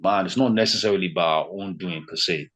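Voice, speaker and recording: Brief: man in his thirties from Nigeria, Yoruba first language, very low voice, easygoing chatty guest. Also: brisk. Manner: relaxed, lots of fillers like "you know" and "man". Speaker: podcast guest. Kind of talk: casual conversation.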